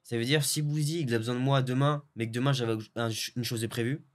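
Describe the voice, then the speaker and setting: A young man from French Guiana, a video editor, chatting in a relaxed way with lots of fillers and restarts. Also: medium pitch, fast talker. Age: young adult. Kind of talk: casual interview chat.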